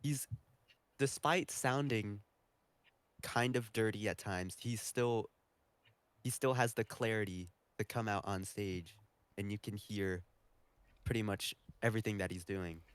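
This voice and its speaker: Lowish-voiced man, casual podcast talk. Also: fairly even intonation, unhurried, pauses often, clear, resonant tone, no room sound. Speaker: podcast panelist. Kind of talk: casual opinionated chat.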